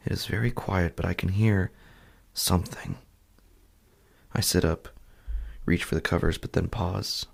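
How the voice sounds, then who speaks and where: A man playing an uneasy, sleepless husband in a horror podcast, telling his story slowly and haltingly in a hushed, gravelly, husky voice. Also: sits low, exaggerated voice. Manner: hushed, deliberate, halting with long pauses. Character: uneasy, sleepless husband haunted by faint night sounds. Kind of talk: slow first-person horror podcast narration.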